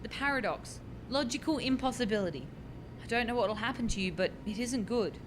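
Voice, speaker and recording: An actress plays a woman researcher in a radio farce, earnest and harried. Her fairly high voice gives instructions in a bossy, insistent way. Noise and rumble sit clearly on the home recording. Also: lively intonation, fairly quick, natural voice. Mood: urgent, instructing. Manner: insistent, explanatory. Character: earnest, bossy, harried female doctoral time-travel researcher.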